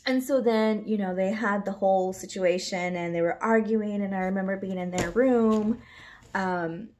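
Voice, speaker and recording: A woman tells a personal story straight to camera. Her voice sits at an ordinary middle pitch. She talks loosely and wanders, packing her sentences with "like" and filler words. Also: moderate pace, a little mellow, slight background noise. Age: 30s. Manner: rambling, many "like" fillers, laughs a lot. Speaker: vlogger.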